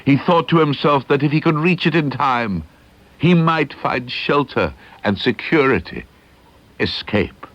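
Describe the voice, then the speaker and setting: A man giving a measured, suspenseful reading of a ghost story, slowing and hushing at the eerie moments, on an old tape recording. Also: medium pitch, animated. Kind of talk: dramatic audiobook narration. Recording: dull lo-fi archival tape digitised from cassette.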